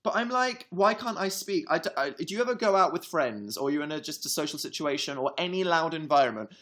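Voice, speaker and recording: A man's voice: a little high, expressive, brisk, fairly bright tone. A man co-hosting a casual livestream, talking in a dry, teasing, sarcastic way. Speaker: co-host.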